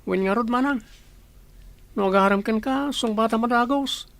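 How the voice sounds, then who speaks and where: A woman's voice: a little high, normal speed, creaky, no room sound. A woman acting an inquisitive, teasing younger sister who gives romantic advice in a radio comedy drama. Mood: nudging.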